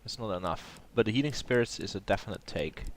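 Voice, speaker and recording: A man's voice: on the low side, fast, a little hiss or hum. A man with a cold and a nasal voice, hosting a casual gameplay commentary and thinking aloud with plenty of hesitation. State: has a cold. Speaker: let's play host.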